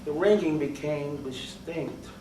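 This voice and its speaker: A man's voice: high voice, animated, takes it slowly, a crowd in the background. A man performing a theatrical horror monologue as a guilt-maddened murderer, melodramatic and intense. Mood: feigned calm, uneasy. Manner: intense, melodramatic, swinging from hushed to shrieking. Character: guilt-maddened male murderer, feigning calm then frantic. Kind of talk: theatrical dramatic monologue of a classic horror tale. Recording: roomy, distant mic, faint noise.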